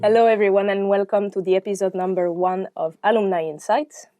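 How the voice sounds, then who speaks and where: A woman's voice: medium pitch, steady tone, a little fast, dark tone, faint music behind. A woman hosting a podcast interview, friendly and laughing between questions. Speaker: podcast host.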